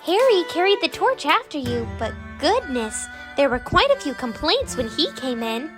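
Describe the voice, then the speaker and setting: Tiny squeaky old underworld attendant. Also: sing-song, moderate pace. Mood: deferential, chatty. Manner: deferential, chatty, fretful. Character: fussy, loyal old underworld attendant, small and excitable. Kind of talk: animated-story character acting. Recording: music behind the voice.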